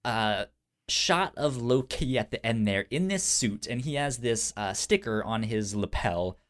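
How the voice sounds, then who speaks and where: A man's voice: medium pitch, animated, unhurried. Man with a pronounced stutter, chatting. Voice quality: pronounced stutter, repeated sounds and syllables. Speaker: podcast co-host. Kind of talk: casual pop culture podcast chat.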